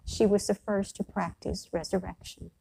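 The voice of an older woman you would hear from a small church pulpit on a Sunday, mellow and deliberate, over faint noise. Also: medium pitch, steady tone, creaky, poor audio. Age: older, around 60s. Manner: measured, deliberate phrasing with pauses. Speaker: priest. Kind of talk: sermon, read from a prepared text.

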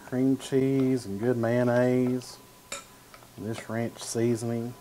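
A man's voice: mid-range voice, level tone, slow, mellow, a little hiss or hum, low-quality recording. A man in his thirties hosting a cooking show, demonstrating a recipe in a studio kitchen in an easygoing way with frequent "uh"s. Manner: easygoing, talks while stirring and slicing, frequent "uh" and "gonna". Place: home-style studio kitchen, indoors.

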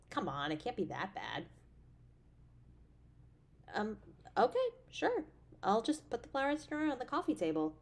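An affectionate girlfriend on a date at home, acted by a woman for an intimate audio drama. Her mid-pitched voice is highly animated, swinging freely up and down. She comes across as playful, caring and openly expressive. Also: breathy edge, heavily acted. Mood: curious, teasing. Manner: gushing, tender, expressive. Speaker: voice actor.

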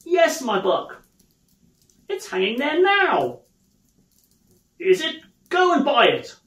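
An elderly storybook gentleman, acted by a man, bursting with hearty glee and exclaiming emphatically. His voice rides high and swings widely in pitch. The room adds a clear echo. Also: big pitch swings, normal speed. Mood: gleeful, excited. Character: old miser reborn, giddy, hearty, excitable gentleman.

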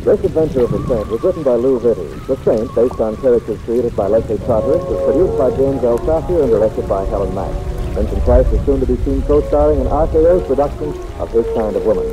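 A man's voice: mid-range voice, animated, fast talker, rough recording. A man announcing in the style of 1950s American radio. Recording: vintage radio recording, music, water sounds.

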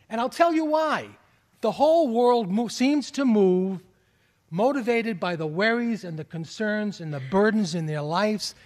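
Boston-accented pastor preaching in a reverberant church. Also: male voice, high voice. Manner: earnest preaching with rising calls and soft drops. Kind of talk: sermon. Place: large church sanctuary. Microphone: stand mic at pulpit, far camera.

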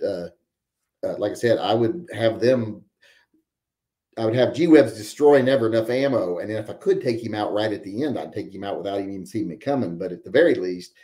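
A man's voice: on the low side, dark tone, roomy sound. A man in his forties hosting a live stream from home, reading viewer chat and rambling with wry joking asides. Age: 40s. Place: home room with guns on the wall.

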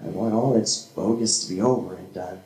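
A young man performing spoken word poetry on a small church stage with a handheld mic, dramatic and swinging from hushed to shouted. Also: lowish voice, moderate pace. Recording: echoey room from a far camera.

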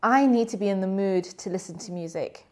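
A woman presenting a church segment, trading brisk, playful lines with her husband in a studio. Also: medium pitch, darkish tone. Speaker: church leader. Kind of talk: scripted back-and-forth with her husband. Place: studio set.

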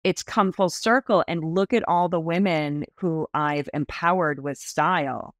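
A woman in her early fifties talks with bright enthusiasm as a podcast guest, her voice sitting a little low for a woman. She is recorded on a video call in a small room with a close, dry sound. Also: unhurried, dry recording. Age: 50s. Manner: animated, enthusiastic storytelling with expressive hand gestures. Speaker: guest stylist.

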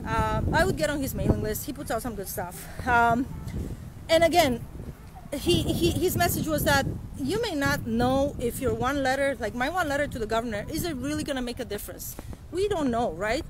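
Woman, forties, Romanian native speaker, high voice, earnest rambling talk, phone mic, loud traffic behind. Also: moderate pace. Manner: earnest, rambling, many restarts and fillers. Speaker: vlogger. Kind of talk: casual livestream talk. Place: outdoors by a street, walking. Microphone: phone at arm's length. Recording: loud street noise.

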